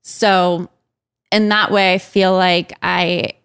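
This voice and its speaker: A woman guest talks slowly and haltingly, filling the gaps with soft ums. Her voice is smooth and clean. The recording is dry and close, with no room sound. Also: fairly low pitch, level tone, no echo. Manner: hesitant, many pauses and ums. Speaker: interview guest. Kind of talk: candid personal interview.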